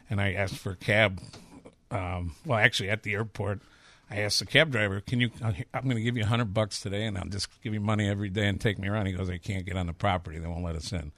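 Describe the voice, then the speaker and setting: A man's voice: fairly low pitch, fairly bright tone, dry recording. A man in his fifties with a Chicago accent, a chef talking candidly and rambling through stories in a casual interview. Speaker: chef and restaurateur.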